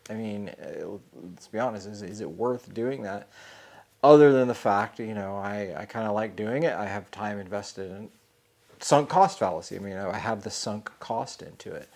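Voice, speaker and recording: A man's voice: lowish voice, moderate pace, darkish tone. Rambling man podcasting solo. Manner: thinking aloud, many fillers and restarts. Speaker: podcast host; programming teacher.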